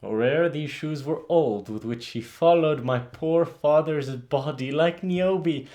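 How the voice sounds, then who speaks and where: An actor playing a grieving, bitter young prince in a theatrical monologue, brooding and halting, rising into outbursts. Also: male voice, fairly high pitch, dark tone. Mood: bitter anguish.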